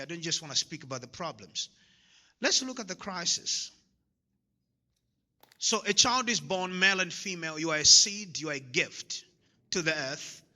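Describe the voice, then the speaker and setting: A man's voice: high voice, pushed and bright. A man from Zambia giving a motivational talk to an audience, emphatic and repeating his key lines, often laughing at his own jokes. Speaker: motivational speaker. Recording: long hall reverb.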